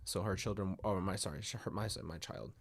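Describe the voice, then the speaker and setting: A man's voice: low-pitched, fairly even intonation, fairly quick. A man hosting a casual livestream, a little nasal from a stuffy nose, rambling and apologetic as he talks to his viewers. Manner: rambling, apologetic, restarts often. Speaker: livestream host reading viewer stories. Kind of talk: casual livestream chat and story reading.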